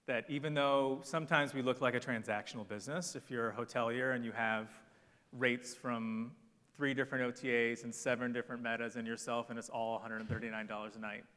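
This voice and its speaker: A man speaking on a conference panel, giving brisk, confident marketing advice. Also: medium pitch, a bit bright. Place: conference hall. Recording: long hall reverb.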